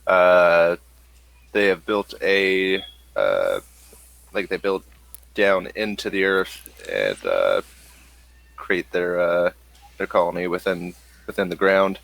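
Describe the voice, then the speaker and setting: A man running a tabletop role-playing game as game master, improvising narration in a halting, thinking-aloud way. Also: low voice, vocal fry, a bit bright, no echo, poor audio.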